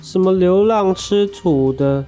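A woman's voice: on the low side, slow, dark tone, faint music behind. A woman with a Taiwanese accent playing a defensive, whiny young slacker housemate in an audio drama. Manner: protesting, exclamatory.

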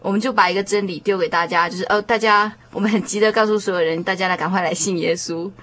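A woman's voice: mid-range voice, steady tone, quick pace, fairly bright tone. A woman with a Taiwanese Mandarin accent, hosting a conversational discussion programme. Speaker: radio show host.

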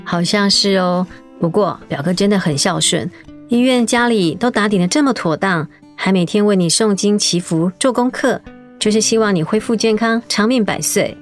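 A woman voicing a caring young niece in a radio drama, gentle and polite in her speech. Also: mid-range voice, normal speed, soft background music, lightly acted.

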